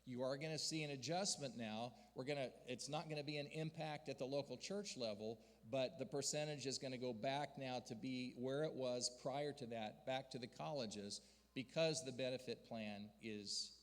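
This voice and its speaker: A man's voice: medium pitch, moderate pace. Man preaching in a reverberant hall. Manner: earnest storyteller, rising to emphatic peaks. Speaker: denominational church leader speaking to a district gathering. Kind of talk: sermon-style report with slides.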